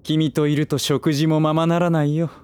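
A man playing a suave, middle-aged gentleman who teases with smug, playful condescension in a voice drama. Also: on the high side, flat delivery, moderate pace, clean tone, lightly acted. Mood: teasing. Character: suave teasing older man, playfully villainous. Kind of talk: voice drama acting.

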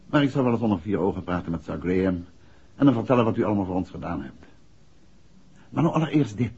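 An actor with a Netherlands Dutch accent playing a polite, composed private detective in a 1960s radio play. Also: male voice, fairly low pitch, expressive, mellow, husky, full character voice. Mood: courteous, probing. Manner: polite, measured, inquisitive. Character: courteous, composed male private detective, married. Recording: muffled old radio recording.

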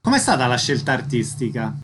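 A man's voice: medium pitch, sing-song, brisk, a bit bright, a little hiss or hum. A man working as a radio interviewer, asking concise questions and making formal announcements.

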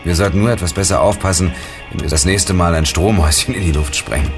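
An actor playing a level-headed young programmer in a German radio play, talking in a dry, matter-of-fact way. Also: male voice, low-pitched, fast talker, music in the background.

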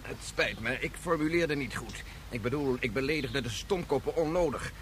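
An actor playing a captive physicist, sardonic, articulate and defiant, in a science fiction radio drama. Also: male voice, mid-range voice, expressive, normal speed, fairly bright tone, a little hiss or hum, close to an everyday voice. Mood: mocking, defiant. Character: defiant, sarcastic male physicist held captive by soldiers.

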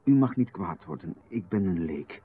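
Nervous overpolite host, lowish husky voice, lo-fi radio sound. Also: male voice, lively intonation, normal speed. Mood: polite uneasy. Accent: Flemish. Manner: overly courteous, hesitant. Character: Babbert, well-known man, polite and uneasy host. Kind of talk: 1990s radio drama. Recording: phone-like radio recording.

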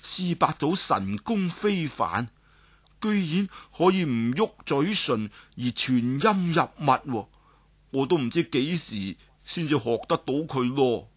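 A man tells a story with a Cantonese accent, his husky voice ranging widely in pitch as he builds drama. He sits a little high for a man and throws in sudden exclamations, slipping into the characters' voices as he narrates. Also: lively intonation, slow.

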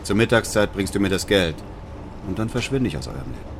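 An actor playing a bitter, vengeful widower in a German radio drama, speaking in a quiet, controlled, measured way. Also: male voice, deep voice, very animated, noisy background. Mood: menacing, commanding. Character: cold, vengeful, bitter widower narrating his scheme.

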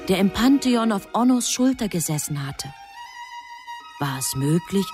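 A woman performing as the grave, composed narrator of a literary radio drama. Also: medium pitch. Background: music.

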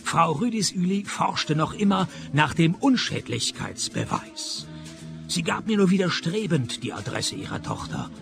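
An actor in a radio play playing a cynical private detective who narrates and questions with dry sarcasm. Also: male voice, on the high side, very animated, unhurried, vocal fry, music in the background, exaggerated voice. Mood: wry narrating. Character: sardonic, cynical male private detective narrating his case.

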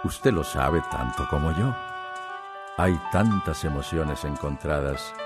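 A man's voice: rumbling low, expressive, takes it slowly. A man giving scripted narration for a radio drama. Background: prominent music.

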